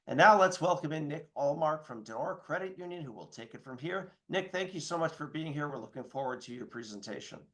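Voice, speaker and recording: A man's voice: fairly high pitch, animated, a little fast, few pauses, a bit bright. Polished man hosting a webinar. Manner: polished, formal announcer delivery. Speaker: webinar host. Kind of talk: scripted introduction.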